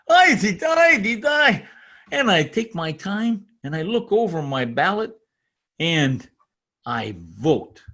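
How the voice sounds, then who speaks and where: A man playing a proud, excitable immigrant tradesman in an old-time radio comedy, with a foreign accent, a husky voice and halting, earnest English. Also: sits high, full character voice. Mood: elated, proud.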